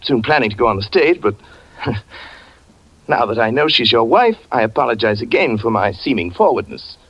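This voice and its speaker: An actor playing a suave, sinister occultist who speaks in a silky, ingratiating way, in 1940s radio drama style. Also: male voice, mid-range voice, sing-song, moderate pace, husky. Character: suave smooth-talking male occultist, charming and sinister. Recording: lo-fi old radio recording, faint music.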